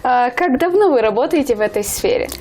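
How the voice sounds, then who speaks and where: A woman's voice: high voice, expressive, fairly quick, a little mellow. A woman hosting a TV morning show, greeting viewers and questioning her guest in a friendly, encouraging way. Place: TV studio.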